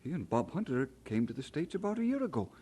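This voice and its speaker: A man's voice: a little high, big pitch swings, fast talker, mellow, husky. An actor playing a homesick, hesitant young immigrant man in an old radio drama. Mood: nostalgic, eager. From Scotland. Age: young adult. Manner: hesitant, musing, given to chuckling.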